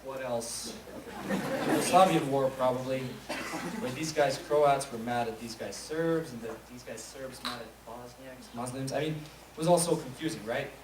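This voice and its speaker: A man's voice: mid-range voice, moderate pace. A young man from Eastern Europe giving a wry, pointed spoken-word monologue on a school stage. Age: young adult. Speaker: student speaker. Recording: echoey hall recorded from far off, noisy.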